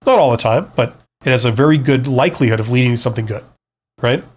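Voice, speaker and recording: Male live stream host, career advisor type. Frank, casual, conversational delivery with frequent check-ins, medium pitch. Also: fast. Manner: conversational, frank, often checks in with "right?" and "you know?". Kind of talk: casual live stream advice, answering viewer comments.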